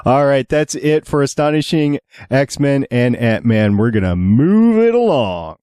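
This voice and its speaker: A man's voice: medium pitch, lively intonation, unhurried, mellow, smooth, no echo. A man hosting a casual fan podcast in a chatty, enthusiastic way. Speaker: podcast host.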